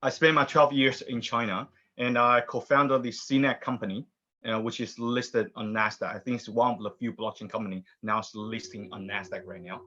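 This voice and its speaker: A man's voice: medium pitch, fairly even intonation, moderate pace, bright, forceful tone. A man from Taiwan introducing himself on an online panel, often restarting and repeating words. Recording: dull lo-fi video call audio.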